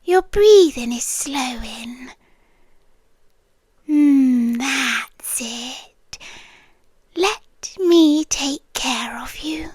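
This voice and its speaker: A British woman playing a possessive, doting and controlling yandere landlady in an intimate ASMR roleplay, breathy and coaxing, often dropping to a whisper. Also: sits high, very slow, pauses often, a little mellow, no room sound, full character voice. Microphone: close ASMR microphone, dry.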